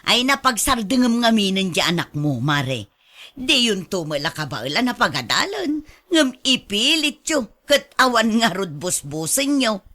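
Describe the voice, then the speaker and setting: A woman's voice: medium pitch, sing-song, unhurried, pushed and bright, exaggerated voice. A woman acting a proud, outspoken middle-aged mother in a radio drama, emphatic and melodramatic, with an Ilocano accent. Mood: chiding.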